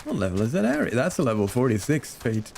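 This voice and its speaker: Playful male game streamer, lively pitch. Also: fast talker, vocal fry, a little hiss or hum. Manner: playful, chatting to viewers while playing. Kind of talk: casual live game stream chat and commentary.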